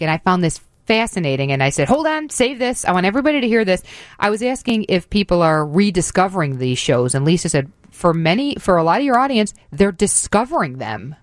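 A woman hosting a casual radio interview in a chatty, animated way on a close studio mic. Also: fairly low pitch, fairly quick, no echo. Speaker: radio host.

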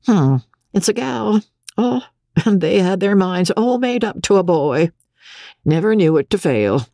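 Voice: mid-range voice, animated, measured pace, warm tone, no echo, clean studio sound, pitched above the performer's own voice. A reader voicing a fat, bossy older midwife, testy and brusque, with a folksy dialect, in a storybook narration. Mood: brisk, folksy.

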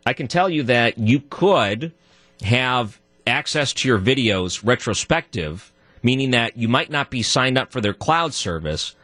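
A man with a deep voice, guest hosting a talk radio show and arguing his point alone, his voice jumping higher when he gets worked up. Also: dry recording. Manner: animated, voice jumps high when worked up. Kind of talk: solo talk radio monologue.

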